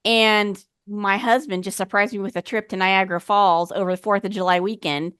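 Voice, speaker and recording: A woman podcast co-host from New York, chatty and animated, who jokes about herself. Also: medium pitch, normal speed, clear, resonant tone, no echo, clean studio sound. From New York State. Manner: chatty, animated, self-deprecating. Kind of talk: casual podcast chat.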